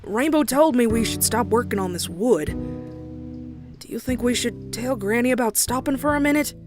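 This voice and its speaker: An uneasy young country mare with a Southern US drawl, voiced by a woman, tells a horror story in dramatic first person. Her talk is folksy and anxious. Music plays clearly behind her. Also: a little high, normal speed, natural voice. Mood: nervous, concerned.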